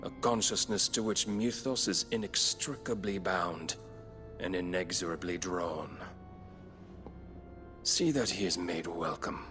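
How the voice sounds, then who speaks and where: An actor playing a cold, contemptuous godlike being in a video game, solemn and imperious. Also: male voice, deep voice, a little slow. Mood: cold disdain. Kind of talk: dramatic video game acting. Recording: loud music behind.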